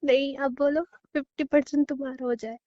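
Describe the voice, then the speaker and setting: A woman's voice: sits high, little pitch movement, moderate pace. A young woman bantering playfully with her boyfriend in a casual phone call. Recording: dull lo-fi call recording.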